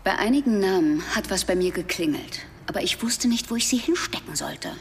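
A woman's voice: medium pitch, expressive, fairly quick, in a large hall, slight background noise, natural voice. A woman playing an inquisitive, modest investigator in a conversational radio drama scene. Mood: intent, discreet.

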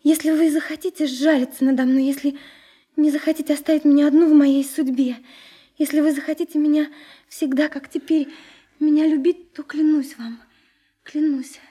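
An actress playing an impulsive, trusting young woman in love in a radio play, emotional and halting. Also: high-pitched, level tone, warm tone, close to an everyday voice. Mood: earnest, pleading. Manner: breathless, emotional, halting, repeating words. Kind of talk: Russian radio play acting.

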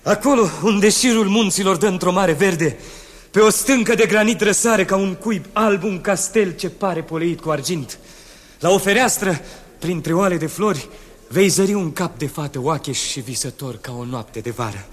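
A man playing a stately emperor in a 1960s radio drama, giving orders in a ringing voice. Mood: regal. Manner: stately, booming commands. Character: regal emperor, lovesick and commanding.

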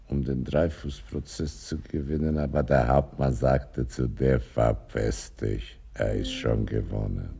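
Very deep, gravelly, weary old man. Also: warm tone, full character voice. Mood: bitter mocking. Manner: florid, mock-pious, lamenting formal speech. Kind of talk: radio play acting.

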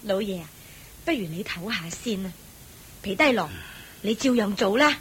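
An actress playing a gentle, compassionate wealthy lady of the house in a radio drama, soft-spoken and persuasive. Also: medium pitch, animated, moderate pace, a little hiss or hum, natural voice. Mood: pleading.

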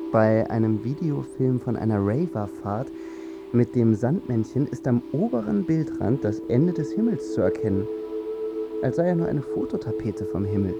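Man, questioning observer, creaky voice, medium pitch, radio drama, heavy music. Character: sceptical male observer picking apart moon footage. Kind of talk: experimental radio drama recitation. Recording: slightly muffled, loud music underneath.

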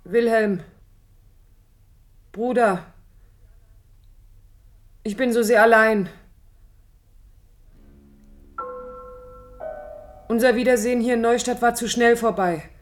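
A woman's voice: a little high, fairly even intonation, measured pace, pauses often, a little hiss or hum, close to an everyday voice. An actress playing a lonely, pensive young woman who speaks intimately and wistfully to her absent brother in a radio drama. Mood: yearning, sad. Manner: introspective, wistful, lyrical.

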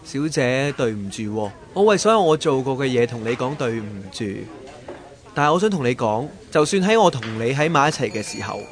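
A fed-up young ex-boyfriend in a Cantonese radio drama. His medium-pitched voice is cold, blunt and resolute. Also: very animated, fairly quick. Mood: cold, resigned.

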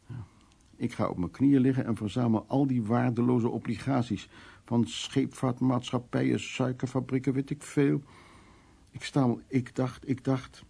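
Radio drama role: troubled man telling his bitter past, mellow but husky, halting storyteller delivery. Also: mid-range voice, fairly even intonation. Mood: brooding, anguished. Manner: halting, storytelling, acting out quoted remarks. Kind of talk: Dutch radio play, intimate dramatic monologue and dialogue.

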